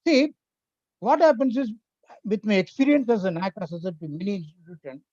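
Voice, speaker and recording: An older speaker with an Indian accent, whose first language is Tamil, lectures over a video call in an earnest, explanatory way. The voice is mellow and swings widely in pitch. The audio is dry and a little muffled. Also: sing-song, fairly quick, dry recording. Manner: earnest, explanatory, lists points one by one. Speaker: invited speaker on education policy. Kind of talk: webinar lecture.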